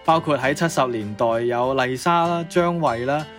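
A man's voice: mid-range voice, moderate pace, fairly bright tone. A young man co-hosting a music-history show, presenting conversationally from prepared notes. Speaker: co-host of music history podcast.

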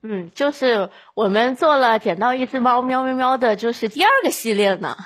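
A woman's voice: a little high, moderate pace. A woman appearing as a podcast guest, chatting casually with joking, mock-boastful delivery.

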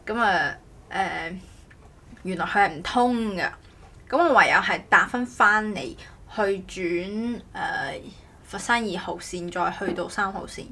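A woman's voice: mid-range voice, unhurried, pushed and bright. A woman chatting casually as a podcast host, rambling and often correcting herself. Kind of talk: casual chat.

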